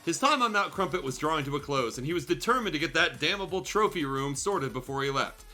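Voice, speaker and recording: A man's voice: a little high, animated, fast talker, pushed and bright. A man hosting a livestream, giving a theatrical comedic reading of fan fiction with irreverent, sweary asides. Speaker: guest host reading fan fiction aloud on a livestream show. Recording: music playing behind the voice. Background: music.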